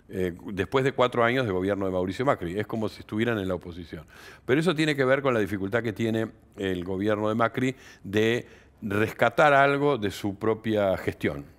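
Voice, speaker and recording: A man in his sixties with an Argentine accent, explaining things analytically as an interview guest and often adding tag questions. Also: fairly low pitch. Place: indoor studio set. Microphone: headset.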